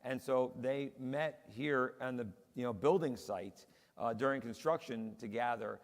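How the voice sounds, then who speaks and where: Male rabbi, conversational storyteller, mid pitch, long hall reverb. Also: a little slow. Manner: chatty storyteller, many fillers. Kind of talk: casual panel conversation. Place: large synagogue hall.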